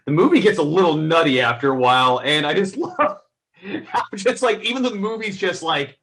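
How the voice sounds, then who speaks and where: Halting man in casual film discussion. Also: on the high side, animated, fairly bright tone. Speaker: film reviewer, co-host.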